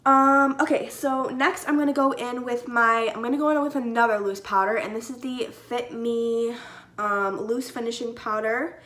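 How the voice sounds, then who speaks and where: A woman's voice: fairly high pitch, fairly even intonation, normal speed, fairly bright tone. A young woman with a slightly stuffy nose from a cold, chatting casually through a makeup tutorial with many "like" and "um" fillers. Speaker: beauty vlogger.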